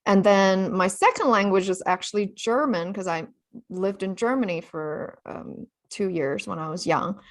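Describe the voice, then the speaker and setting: A woman author, a native Chinese speaker born in China, telling her travel story in a relaxed interview, full of "you know" fillers. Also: medium pitch, normal speed. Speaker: author guest.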